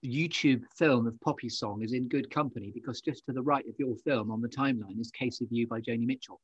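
A man with a mid-pitched, husky voice hosts a casual podcast interview in a chatty way. The recording is dry and a little dull. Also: brisk, no echo. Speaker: podcast host.

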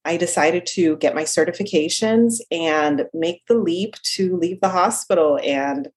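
A woman's voice: on the low side, unhurried, a little mellow, breathy edge. A woman from Jamaica with a slight Jamaican accent, a dietitian talking casually as a podcast guest. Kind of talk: casual interview talk.

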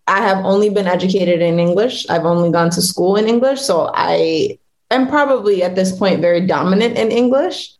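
Relaxed woman guest, lowish voice. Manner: relaxed, explanatory, with fillers. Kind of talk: interview conversation.

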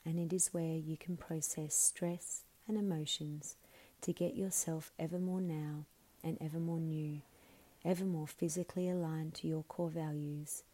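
A woman leading a scripted guided meditation in a soothing, measured way, her phrases often softening into breathiness. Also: sits low. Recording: clearly audible background hum and noise.

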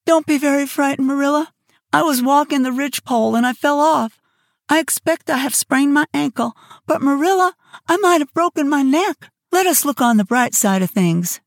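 A woman's voice: animated, moderate pace, no echo, studio quality. Woman doing a dramatic imaginative young girl. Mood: plaintive. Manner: earnest, theatrical, high-flown phrasing.

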